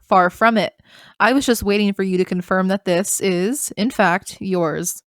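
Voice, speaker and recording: A woman voicing a confident female bunny prosecutor in an animated courtroom story, composed and sardonic. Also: mid-range voice, unhurried, dry recording, clean studio sound, lightly acted. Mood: cool, assured. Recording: clear music behind the voice.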